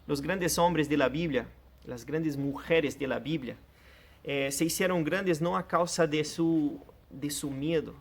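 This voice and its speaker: A man whose first language is Portuguese, preaching a sermon in an earnest, direct way and addressing the listener personally. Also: fairly high pitch. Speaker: pastor.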